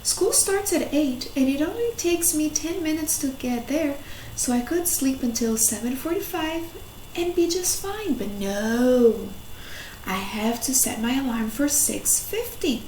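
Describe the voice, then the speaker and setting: Woman voicing a grumpy schoolboy, high-pitched and slow. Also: animated, a little mellow. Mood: grumbling. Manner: exaggerated, drawn-out complaining emphasis. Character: grumpy, whiny young schoolboy who complains about everything. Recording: echoey room, faint noise.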